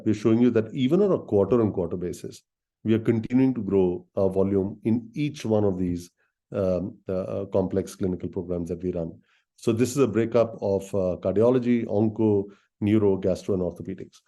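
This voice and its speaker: A man speaking as a company executive in a business presentation, explaining things directly to listeners in halting phrases with many filled pauses. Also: on the low side, dark tone. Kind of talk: business presentation on an earnings call.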